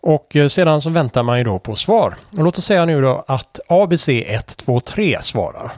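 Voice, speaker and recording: A man's voice: a little high, animated, dry recording. Man patiently teaching Morse procedure. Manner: unhurried, many fillers, spells out letters and call signs. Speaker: amateur radio instructor. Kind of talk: explaining Morse code procedure.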